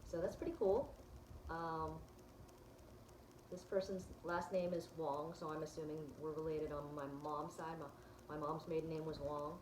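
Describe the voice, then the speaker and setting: Woman vlogger, casual chat, low voice, echoey noisy room. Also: little pitch movement, moderate pace. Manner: chatty, many ums and restarts. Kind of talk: casual chat, walking through results on screen.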